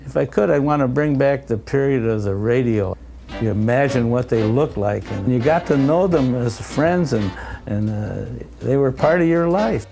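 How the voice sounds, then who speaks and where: An older man with a noticeably creaky voice reminisces in an interview. He is halting and nostalgic, with music playing behind. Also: medium pitch, animated.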